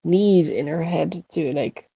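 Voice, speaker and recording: A woman playing a tabletop game, improvising in a halting way as she searches for her words. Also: sits low, vocal fry, husky, highs cut off. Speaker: player in a tabletop roleplaying game. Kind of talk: improvised narration of her character.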